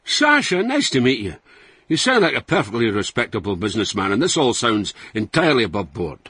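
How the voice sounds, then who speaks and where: A man's voice: mid-range voice, big pitch swings, brisk, bright, forceful tone, slightly rough, no room sound, full character voice. An actor playing a roguish, boastful Scottish man in his sixties from Dundee in a radio comedy, colloquial and mock-sincere. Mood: cheerful, boastful. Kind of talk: radio comedy acting, reading out emails.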